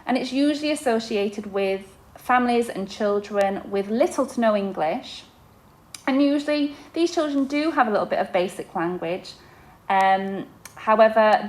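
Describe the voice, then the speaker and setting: A young adult woman, an inclusion teacher, explaining to parents in a podcast-style talk, with frequent fillers and restarts. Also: medium pitch.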